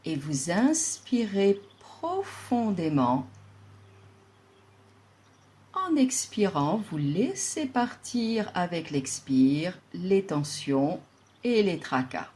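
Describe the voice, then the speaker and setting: Very slow, mellow woman guiding relaxation. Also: fairly low pitch. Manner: calm, soothing, deliberate instruction with long pauses. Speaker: yoga nidra instructor. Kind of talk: guided relaxation meditation.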